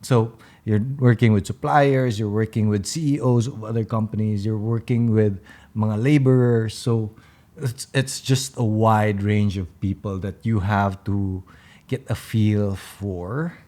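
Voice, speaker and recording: A young Filipino man co-hosting a podcast, chatting casually in mixed English and Tagalog with a deep, big, commanding voice. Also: fairly even intonation, unhurried, warm tone. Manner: commanding, direct, gestures a lot. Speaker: podcast co-host.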